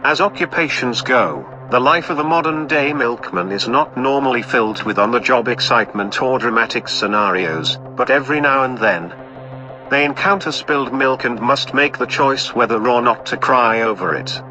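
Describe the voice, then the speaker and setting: A man's voice: mid-range voice, animated, moderate pace, music in the background, natural voice. Dull-sounding wry male voice-over, acted. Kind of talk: scripted voice-over narration in audio play.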